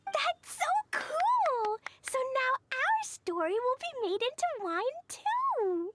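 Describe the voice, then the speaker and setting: A woman voicing a bubbly, innocent little girl in a video game, childlike and excitable. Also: very high voice, slow, very noisy, exaggerated voice. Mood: curious, delighted.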